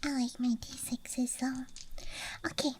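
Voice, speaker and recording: Slow, whispering young woman, close-miked. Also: high voice, flat delivery. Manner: soft, playful, musing aloud. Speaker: ASMR streamer. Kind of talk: whispered ASMR, rambling casual chat. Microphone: close ASMR microphone. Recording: background noise, ambience with clicks. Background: night campground ambience, clicking of small objects.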